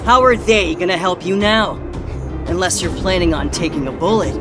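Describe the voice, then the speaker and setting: A woman's voice: lowish voice, normal speed, close to an everyday voice. A woman voicing a menacing, mocking bandit thug in a video game, taunting as they speak. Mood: gloating. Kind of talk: anime-style video game voice acting. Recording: loud game music underneath. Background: game cutscene music.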